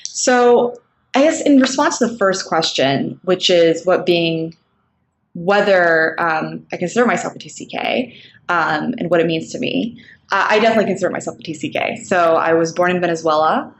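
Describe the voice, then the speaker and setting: A young woman with an American-sounding accent and a fairly low voice talks in a thoughtful, measured way, pausing on ums as she works through her points. The room is echoey, with a faint hiss of background noise behind her. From Singapore. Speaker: nonprofit co-founder. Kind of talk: recorded talk for an online summit.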